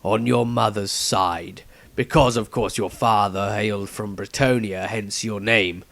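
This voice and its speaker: A man performs an aged wizard master from a fantasy audiobook, grave and solemn. His words come slowly and deliberately, with gravel in the voice and dramatic pauses, recorded very dry. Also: on the low side, steady tone, a bit bright, no room sound. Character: old patriarch of a wizard order, grave mentor. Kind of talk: fantasy audiobook character reading.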